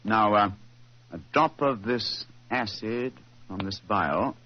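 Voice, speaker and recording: An actor playing a shrewd, unflappable private detective in a precise, formal, theatrical 1940s radio style. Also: male voice, on the low side, slow pace. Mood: triumphant, deliberate. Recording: muffled old-time radio recording.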